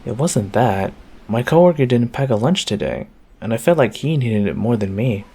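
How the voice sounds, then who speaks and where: Man acting as an affectionate boyfriend, lively intonation, slightly husky. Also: mid-range voice, normal speed. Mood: warm, casual. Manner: tender, casual, addresses listener directly. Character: affectionate easygoing boyfriend home from work on a snowy night. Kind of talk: intimate roleplay audio acting.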